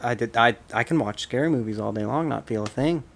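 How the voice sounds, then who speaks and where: Guy playing a moody demon king rocker, mumbly and quiet, whispers a lot, comedy sketch. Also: mid-range voice, fairly quick, gravelly. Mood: uneasy. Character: gloomy male demon-king rock star, timid under the tough act.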